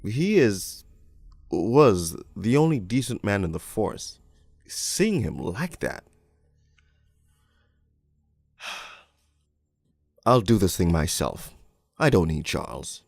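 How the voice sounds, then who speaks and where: A man playing a crude, cynical police detective, narrating a crime storybook in the first person in a gravelly, world-weary, deliberate way. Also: lowish voice, sing-song, a little mellow, no echo, exaggerated voice. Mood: bitter. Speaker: narrator and lead voice actor in an animated storybook.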